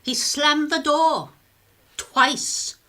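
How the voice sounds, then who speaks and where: An actress playing a nosy, blunt, gossipy British working-class neighbour in a radio drama, speaking in colloquial phrases. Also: female voice, high voice, fairly even intonation, very slow, bright, forceful tone, exaggerated voice. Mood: prying, chatty.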